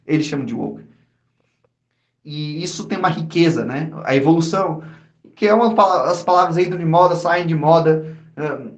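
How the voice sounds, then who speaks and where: A Brazilian man with a Brazilian Portuguese accent, co-hosting a podcast in casual, slangy chat with many restarts. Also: fairly high pitch. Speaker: podcast co-host. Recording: echoey room.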